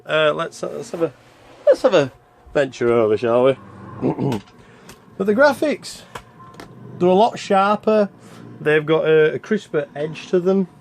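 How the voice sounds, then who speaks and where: A man's voice: on the high side, animated, unhurried, vocal fry, pauses often, slight background noise. A man hosting a game review with casual, rambling, self-deprecating commentary as he plays. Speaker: video game reviewer and channel host.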